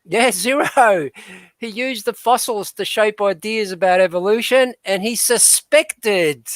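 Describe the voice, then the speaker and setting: A man's voice: sits high, a little slow, no echo. A man hosting a discussion show, speaking hesitantly with many fillers. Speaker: host. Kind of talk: casual discussion.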